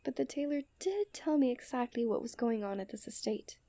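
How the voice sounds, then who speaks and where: A young woman playing a coy, refined and tender princess in a romantic ASMR roleplay, speaking in playful storybook diction that often softens to a near-whisper. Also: a little high, lively intonation, brisk, no echo. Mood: playful, knowing. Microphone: close studio-style microphone.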